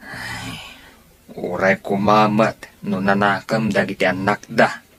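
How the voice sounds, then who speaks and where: A man playing a slow-talking village neighbor. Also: lowish voice, flat delivery, fairly bright tone. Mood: gossiping.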